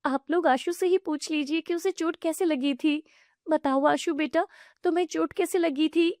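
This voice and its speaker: A woman voicing a gentle widowed young mother who pleads earnestly. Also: sits high, normal speed, no room sound, studio quality, voice pushed up, natural voice. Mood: pleading.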